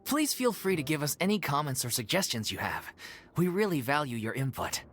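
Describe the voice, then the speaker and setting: An actress voicing a sincere, gentle female theatre troupe member in a video game. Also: low-pitched, very animated, a little fast, soft background music. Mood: heartfelt.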